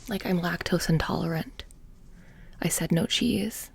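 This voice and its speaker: A woman playing a playful, menacing witch shopkeeper in a soft-spoken ASMR roleplay, breathy and often whispering, with a casual deadpan delivery. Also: lowish voice, measured pace, soft background music. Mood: conspiratorial, mock-sinister. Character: playful, menacing, petty witch shopkeeper selling haunted trinkets.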